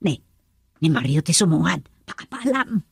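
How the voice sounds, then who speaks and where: A woman's voice: deep voice, very animated, normal speed, vocal fry, breathy edge, dry recording, studio quality, heavily acted. A woman with an Ilocano accent playing a chatty, inquisitive village woman in a radio drama. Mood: excited.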